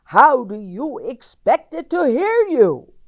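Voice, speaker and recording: A woman voicing indignant, complaining farm animals in a children's storybook, with loud, emphatic, drawn-out scolding. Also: high voice, very animated, voice pushed up, full character voice.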